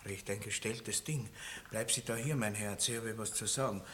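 Ein Schauspieler mit österreichischem Akzent spielt in einem Hörspiel einen großspurigen, lüsternen Landbaron mittleren Alters, der schwadroniert und prahlt. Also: male voice, lowish voice, fairly even intonation, normal speed, sharp tone, a little hiss or hum. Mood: leering. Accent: Austrian. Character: boorish lecherous middle-aged country baron. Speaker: radio play actor. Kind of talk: 1960s radio drama acting.

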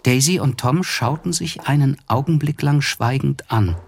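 An actor playing a reflective, wryly observant gentleman who narrates a literary radio play in a measured way. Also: medium pitch, gravelly, husky, no echo. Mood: wry, detached. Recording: music under the voice. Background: music.

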